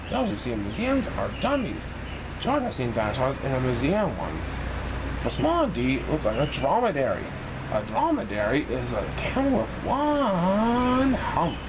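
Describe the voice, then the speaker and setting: A man reading a children's picture book aloud in an expressive, sing-song way for young listeners. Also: a little high, noisy background. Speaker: storybook reader in a banana persona.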